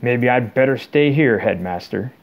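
Man as a huge, gruff, blunt groundskeeper. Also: mid-range voice, expressive, moderate pace, highs cut off. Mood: grudging. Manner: rustic, nonstandard grammar, blunt. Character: huge, rough, loyal, hot-tempered groundskeeper.

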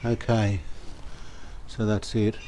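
An older man calmly talking through a restoration job outdoors, thinking aloud as he works. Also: on the low side, a little hiss or hum, low-quality recording. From Australia. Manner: thinking aloud, calm and unhurried, plain-spoken with fillers. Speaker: radio restorer, channel host. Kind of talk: casual hands-on workshop narration. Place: outdoor verandah in the garden.

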